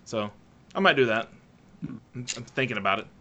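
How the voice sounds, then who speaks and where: A man in a relaxed, casual chat, thoughtful and trailing off at times. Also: medium pitch, moderate pace, muffled recording, slight background noise.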